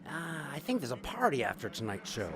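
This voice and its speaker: An actor playing a harried, evasive stage magician and father in an audio drama, hesitant, placating and sometimes sarcastic, with a gravelly voice. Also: a little high, wildly swooping pitch, brisk, noisy background. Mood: hurried, placating.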